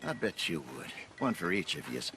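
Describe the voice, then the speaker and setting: A man's voice: low-pitched, big pitch swings, moderate pace, gravelly, noisy background, heavily acted. An actor playing a scheming male conman posing as a traveling advertising man, with a theatrical, coaxing manner, over game music. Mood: sly. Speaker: con artist.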